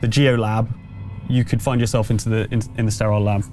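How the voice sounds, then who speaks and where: A man running a tabletop horror game as game master, improvising descriptions in a halting, conversational way. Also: on the low side, level tone, mellow, soft background music.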